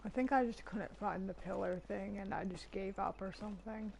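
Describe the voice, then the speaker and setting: A woman's voice: mid-range voice, steady tone, moderate pace, creaky, slight background noise, low-quality recording. A woman giving casual live gameplay commentary, offhand, as if half talking to herself. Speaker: game streamer. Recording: loud game music behind. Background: game music.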